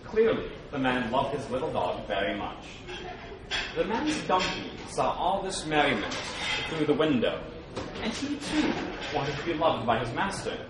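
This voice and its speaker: A man's voice: mid-range voice, wildly swooping pitch, normal speed, distant mic. A man reading a scripted animal fable aloud at a public program, with dramatic emphasis. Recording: very echoey, noisy room, off mic.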